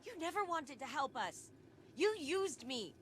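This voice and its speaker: A woman's voice: high voice, big pitch swings, unhurried, slight background noise. A woman playing an impassioned, loyal and defiant younger heroine in a video game cutscene, speaking emotionally and insistently. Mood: hurt, defiant. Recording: loud effects and ambience behind voice.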